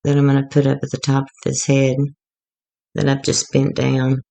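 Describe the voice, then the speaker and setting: A woman going hoarse as she gives a rambling, chatty, self-deprecating walkthrough of a craft project. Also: very deep voice, monotone, moderate pace, dark tone. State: getting hoarse. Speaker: craft tutorial maker.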